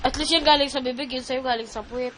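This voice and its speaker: A woman's voice: sits high, steady tone, fast talker, noisy background. A woman chatting casually with family in a playful, giggly, teasing way.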